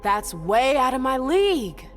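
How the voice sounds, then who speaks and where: An actress playing a wry, sceptical vampire ally in a game cutscene, speaking in a dry, casual manner. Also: female voice, high-pitched, very animated, unhurried, faint music behind. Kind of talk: dramatic video game cutscene acting.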